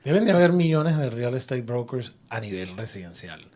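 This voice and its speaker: A jovial man hosts a live radio talk show from the booth, speaking at an everyday pitch. He banters with his guests and mixes English words into his talk. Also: sing-song, normal speed, a little mellow.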